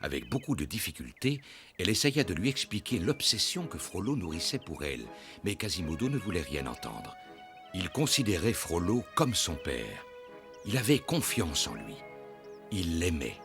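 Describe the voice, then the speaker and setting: A man's voice: low voice, sing-song, moderate pace, over music. A man narrating a children's cartoon as a solemn storyteller, in scripted storybook style.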